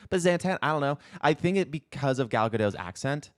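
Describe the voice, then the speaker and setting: A man's voice: mid-range voice, animated, fast talker. A man co-hosting a podcast, chatting casually about superhero films in an agreeable, tentative way. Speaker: podcast co-host.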